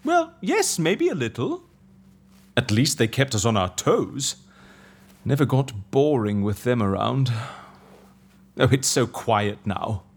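Husky, wildly swooping gentleman. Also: mid-range voice, big pitch swings, slow, pauses often, full character voice. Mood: wistful. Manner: theatrical, swooping, easily flustered. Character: dotty, excitable, childlike gentleman who runs an eccentric office. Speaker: actor in a comedy fiction podcast. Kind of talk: audio comedy drama acting.

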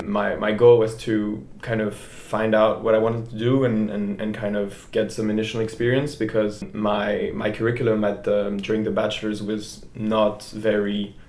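A man in his twenties from Switzerland, a business student chatting as a guest on a podcast with relaxed talk and frequent restarts. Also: on the low side. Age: 20s. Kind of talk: casual interview chat. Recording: roomy echo.

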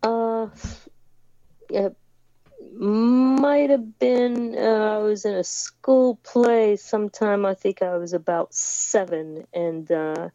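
A woman's voice: medium pitch, slow, mellow, no room sound, lo-fi sound. A woman who grew up in the United States, chatting as a musician guest over a remote call, hesitant with many restarts and fillers. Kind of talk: casual interview chat over a remote call. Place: home in England.

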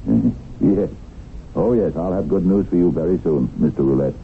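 An actor in a 1970s radio drama playing a smooth-talking crooked land dealer who needles coyly while feigning innocence. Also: male voice, very deep voice, animated, normal speed. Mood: businesslike, ingratiating. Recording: tinny band-limited old radio broadcast, hissy.

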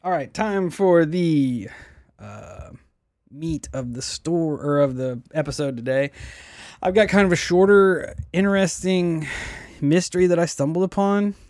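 A man's voice: on the high side, a little slow, a little mellow, dry recording, clean studio sound. A man hosting a podcast, casually telling a mystery story, swearing often and stumbling over names. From United States. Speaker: podcast host.

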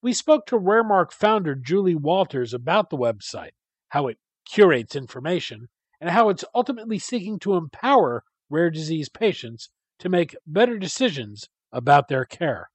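A man hosting a podcast, reading a scripted introduction and asking interview questions in a measured way. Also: a little high, big pitch swings, husky, no echo. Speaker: podcast host.

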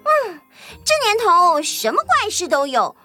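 A very high, bright voice of a woman playing a bubbly young assistant in a children's audio story. She is chatty, boastful and scatterbrained, with excitable, swooping delivery. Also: a little slow, soft background music, full character voice. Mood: amused.

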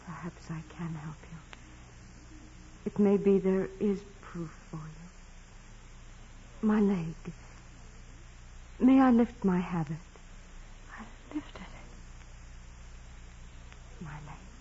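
An actress playing a humble, devout young peasant woman in 1940s radio drama style, earnest, deferential and soft-spoken. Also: fairly low pitch, extremely slow, pauses often, breathy edge, heavily acted. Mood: pained, sincere. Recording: dull, hazy vintage radio recording.

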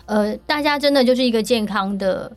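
A woman's voice: on the high side, a little fast, dry recording. A young woman with a Taiwanese Mandarin accent hosting a podcast, bubbly and enthusiastic, laughing often. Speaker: podcast host. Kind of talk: casual podcast interview.

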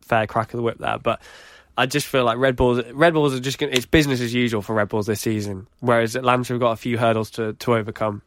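A man's voice: medium pitch, fairly even intonation, fast talker, few pauses. A British man co-hosts a casual podcast, speaking his mind frankly and teasing his partner. The sound is close and dry, with no room echo. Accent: British English. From Britain. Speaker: podcast co-host.

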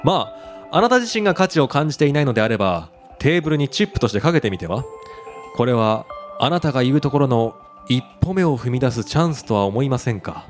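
An actor playing a polite, deliberate, persuasive corporate recruiter with sinister intent in a radio drama. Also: male voice, medium pitch, very animated, faint music behind, lightly acted. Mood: insinuating. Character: smooth, insinuating male corporate recruiter for a sinister game. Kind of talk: Japanese radio drama acting.

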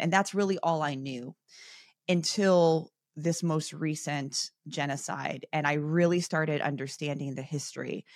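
A woman's voice: deep voice, no echo, studio quality. A woman hosting a podcast interview, asking questions in a curious, conversational way. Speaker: podcast host.

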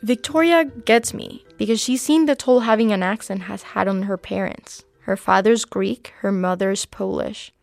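A woman's voice: mid-range voice, moderate pace, gravelly, hardly any pauses, no echo, soft background music. A young woman from Panama, a native Spanish speaker with a slight accent, narrating a documentary in the first person as its reporter.

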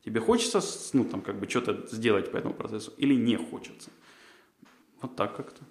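A man's voice: fairly low pitch, lively intonation, brisk, echoey room. A man, a podcast co-host, answering interview questions in a rambling way, with many fillers and restarts. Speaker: job candidate, podcast co-host.

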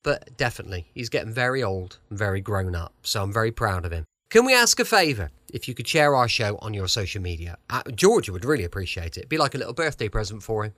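Animated British male podcast host, middle-aged. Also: lowish voice, fast talker, few pauses, no room sound. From England. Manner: chatty, reflective. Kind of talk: casual, chatty show introduction.

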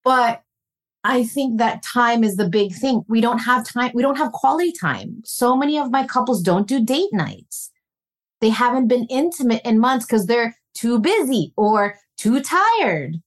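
A woman's voice: a little high, expressive, unhurried. A woman relationship coach being interviewed, talking in an earnest, reflective way and telling family stories. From Filipino family, raised in North America. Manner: earnest, reflective, storytelling with warm laughs. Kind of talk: podcast interview conversation.

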